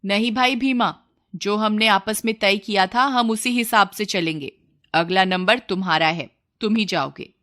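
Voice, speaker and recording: A woman's voice: medium pitch, a little slow, fairly bright tone, lightly acted. A woman voicing a frightened village man in a moral story, pleading and exclaiming as he bargains. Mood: wary.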